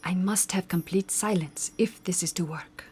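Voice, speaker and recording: A woman's voice: on the low side, normal speed, a little mellow, husky. A woman playing a formal, commanding and mysterious spirit medium in old-time radio drama style. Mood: solemn, commanding. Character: solemn theatrical female spirit medium.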